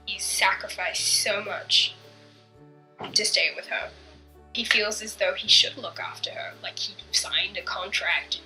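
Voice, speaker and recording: Young woman, documentary interviewee, bright timbre, candid and hushed delivery, light music bed. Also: mid-range voice, fairly even intonation, measured pace, poor audio. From born in Canada, raised in Bangladesh.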